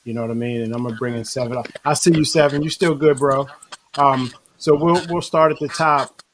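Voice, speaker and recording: Laid-back dude hosting a video call chat, says bro a lot. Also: male voice, mid-range voice, steady tone, brisk, a little hiss or hum. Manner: loose and conversational, says bro and you know. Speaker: online show host. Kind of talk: casual interview hosting.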